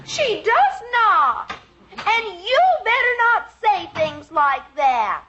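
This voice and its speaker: A woman's voice: extremely high pitch, very animated, takes it slowly, sharp tone, lo-fi sound, exaggerated voice. A female performer playing an eager, pestering little girl in a 1950s radio sitcom, whiny and dramatically earnest, on a noisy old recording. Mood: pestering, defiant.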